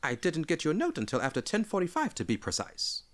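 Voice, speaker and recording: Storybook hobbit, played by a male narrator: middle-aged, flustered, fussy, fretful, pitched up from the narration. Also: huge pitch swings, a little fast. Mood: apologetic, fretful. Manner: fretful, protesting.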